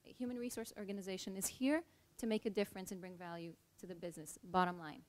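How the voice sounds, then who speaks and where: Woman, middle-aged, hr leader talking on a panel, earnest and emphatic, mid pitch. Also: fairly even intonation, quick pace. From Israel. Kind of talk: panel talk, personal story.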